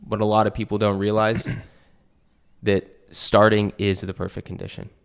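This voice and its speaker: A man's voice: fairly low pitch, little pitch movement, moderate pace, gravelly, clear, resonant tone. A young American man hosting a casual conversation, talking earnestly and thinking aloud with many fillers. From United States. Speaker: podcast host and new real estate agent. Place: Portugal.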